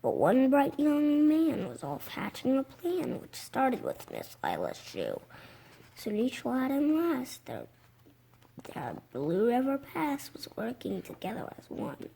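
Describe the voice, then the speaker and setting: A six-year-old child reading a picture book aloud, haltingly, with stumbles over words. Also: high voice, wildly swooping pitch, gravelly, warm tone, a little hiss or hum. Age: young child, six. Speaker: reader.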